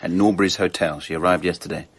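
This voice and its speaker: An actor playing a solicitous, excitable Victorian gentleman in a radio drama, earnest and protective. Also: deep voice, quick pace, husky. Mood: concerned, grave.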